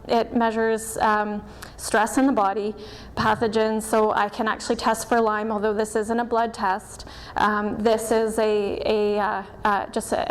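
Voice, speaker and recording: A woman giving a public presentation to a live audience, soft-spoken and a little nervous, with frequent ums. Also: mid-range voice, rough recording. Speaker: practitioner giving a talk. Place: event hall.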